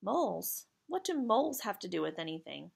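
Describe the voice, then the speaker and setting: A woman voicing a curious, excitable girl from a children's storybook, eager and questioning. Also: medium pitch, moderate pace, vocal fry, husky, exaggerated voice. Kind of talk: children's storybook character read aloud.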